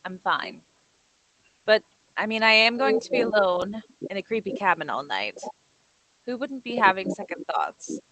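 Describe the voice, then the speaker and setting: Young female horror lead, read by an actress: sarcastic, putting on bravado, conversational, mid-pitched, dry sound. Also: sing-song, normal speed, bright, forceful tone, no echo, rough recording. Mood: uneasy. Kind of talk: table read of a horror film script.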